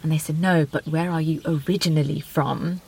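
Woman novelist, low creaky voice, thinking out loud, earnest. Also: steady tone, normal speed, rough recording. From London, England. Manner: thoughtful, earnest, with ums. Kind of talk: interview answers.

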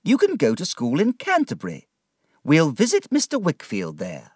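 Brief: a man doing a cold, commanding older aunt, high and loud, swooping pitch, heard from a distance. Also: wildly swooping pitch, moderate pace, no room sound. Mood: brisk. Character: stern, decisive older woman, a boy's aunt. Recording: dry, distant from the mic.

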